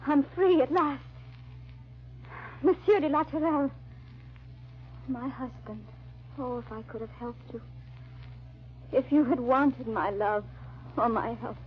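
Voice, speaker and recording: An actress playing a frightened, grieving noblewoman hunted by her husband, in halting, melodramatic old-time radio style. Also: on the high side. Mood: solemn, sorrowful. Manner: halting, fearful, melodramatic delivery. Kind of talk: old-time radio drama acting and narration. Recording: dull, band-limited old radio recording.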